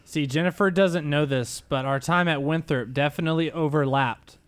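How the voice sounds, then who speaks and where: A man's voice: a little high, moderate pace, no echo. Playful man co-hosting a podcast. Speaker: podcast co-host. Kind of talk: casual podcast chat.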